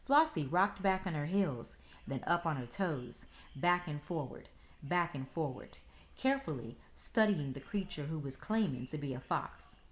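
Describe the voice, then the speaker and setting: A woman reading a children's story aloud in expressive scripted narration. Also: low-pitched, a little slow. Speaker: storybook reader.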